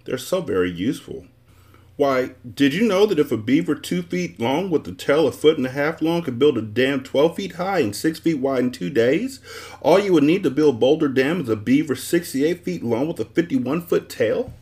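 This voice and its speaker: A man voicing a pompous, excitable storybook creature with many faces, speaking in a precise, scolding, emphatic manner. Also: fairly high pitch, normal speed, voice pushed up.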